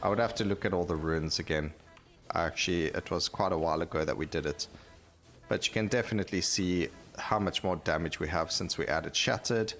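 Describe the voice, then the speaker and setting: Male gaming host, deep and gravelly, casual rambling play-by-play over light game music. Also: a little fast, fairly bright tone. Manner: rambling, thinking aloud mid-sentence. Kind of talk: casual gameplay commentary.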